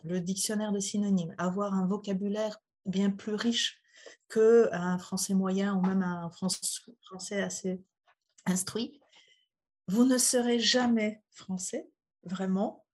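Husky-voiced middle-aged woman, hesitant. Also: mid-range voice, darkish tone. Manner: thoughtful, hesitant, many fillers. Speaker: interviewee, mother. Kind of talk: casual interview.

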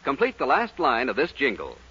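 Casting: American male ad announcer, 1940s radio, husky, swooping emphatic range, dull vintage recording. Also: medium pitch, wildly swooping pitch, a little fast, sharp tone. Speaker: second commercial announcer. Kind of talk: 1940s radio advertising read.

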